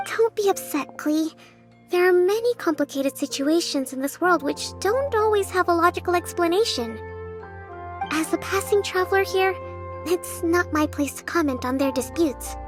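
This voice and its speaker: A composed young woman in a video game scene. Her voice is extremely high, gentle and measured, offering tactful reassurance over soft music. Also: animated. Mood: gently consoling. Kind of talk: video game cutscene acting.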